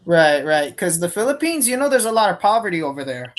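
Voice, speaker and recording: A man's voice: high voice, sing-song, a little fast. A man hosting a casual live stream chat, laid-back and swearing freely, calling people bro and dude.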